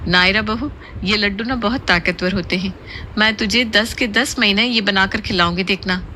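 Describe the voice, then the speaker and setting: A woman's voice: medium pitch, moderate pace, bright, forceful tone, a little hiss or hum, close to an everyday voice, rough recording. A woman voicing a chatty, boastful middle-aged neighbour aunty in a cartoon, talkative and insistent. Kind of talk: Hindi cartoon moral-story voice acting.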